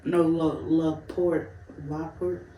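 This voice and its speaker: A woman's voice: sits low, flat delivery, slow pace, mellow, recorded from a distance, a little hiss or hum. A young woman from Chicago, a guest in a casual interview, telling stories candidly, laughing often and swearing freely. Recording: roomy, off-mic, faint ambience.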